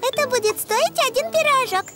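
A woman's voice: extremely high pitch, lively intonation, brisk. A woman voicing a sweet, playful little girl donkey in a preschool cartoon, girlish and singsong. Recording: light music behind the voice.

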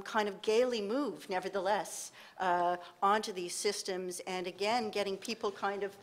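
A woman panelist speaking in a lecture hall, measured and self-deprecating, with many fillers. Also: medium pitch. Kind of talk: panel discussion remarks. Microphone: table microphone.

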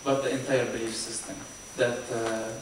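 A man, testifying at a panel briefing with many halting pauses. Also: medium pitch, level tone. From Palestinian territories. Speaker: briefing witness. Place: hearing room. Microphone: table mic, recorded from far camera. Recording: echoey room from far off, noisy.

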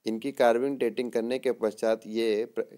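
A man giving an exam lesson read from notes in a deliberate way, posing questions and answering them, sometimes repeating words. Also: medium pitch, little pitch movement, dark tone. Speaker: teacher.

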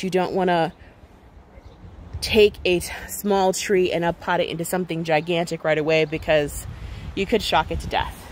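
A woman's voice: on the low side, slight background noise. A woman talking casually and informally outdoors, explaining things as she points them out on an unscripted garden tour. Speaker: gardening vlogger giving a tour. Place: outdoors on a home deck.

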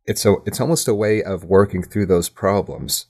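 A man speaking as an interview guest, reflective and conversational, thoughtfully halting and restarting his phrases. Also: sits low, a little mellow, breathy edge. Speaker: interview guest, audio drama writer.

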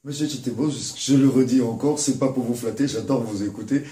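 A man's voice: mid-range voice, fairly quick, dark tone. A man hosting an interview, putting emphatic and courteous questions to his guest. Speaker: host. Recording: roomy echo.

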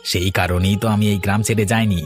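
A man's voice: fairly low pitch, fairly even intonation, fast talker, faint music behind, close to an everyday voice. A man voicing a proud, earnest village farmer and husband in a cartoon, firm and persuasive.

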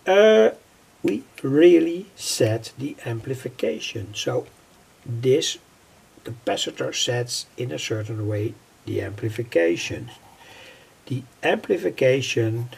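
An older man giving an unscripted technical tutorial in a halting, repetitive way, piecing phrases together. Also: medium pitch, animated, a little mellow. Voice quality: husky, gravelly. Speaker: electronics hobbyist presenter. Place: indoors at a worktable.

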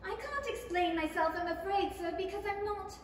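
A woman's voice: extremely high pitch, fairly quick. A woman playing a polite, bewildered little girl in a 1930s-style radio play. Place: theatre stage, reading from script. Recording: echoey hall, distant, faint noise.

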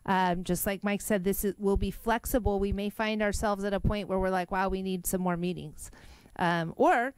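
A woman facilitating a public meeting, upbeat, brisk and organized as she lays out next steps. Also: mid-range voice, fairly even intonation, clean tone, no room sound. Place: large meeting room.

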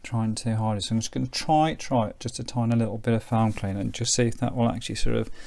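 A middle-aged British man giving a casual, unhurried hands-on commentary as he demonstrates something, thinking aloud as he goes. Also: medium pitch, fairly even intonation, gravelly, darkish tone. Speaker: presenter demonstrating a vintage radio. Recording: faint background noise, clicks of handling.

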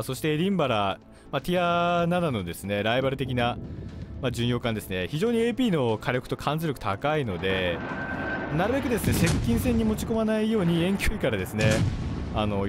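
A man commentating on gameplay as a video host, explaining politely in short, halting phrases. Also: mid-range voice. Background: game music and artillery fire.

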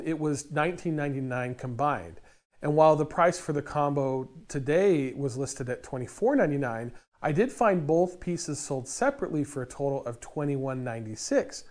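Man, 30s, DIY host, slightly high voice, animated and emphatic, lapel mic, store aisle. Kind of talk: scripted explainer to camera. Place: indoors in a store aisle by lumber racks.

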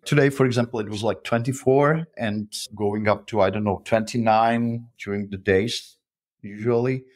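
A man from the Republic speaking English, talkative and friendly as a guest on an interview podcast. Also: mid-range voice. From Czech Republic. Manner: friendly, talkative, with frequent uh fillers and restarts. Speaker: guest, author and freelancer. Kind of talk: interview conversation.

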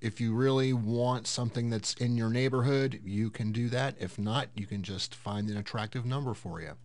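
A man hosting a casual software tutorial, explaining things step by step in an unhurried way. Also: medium pitch, fairly even intonation. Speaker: host of a tech tutorial series.

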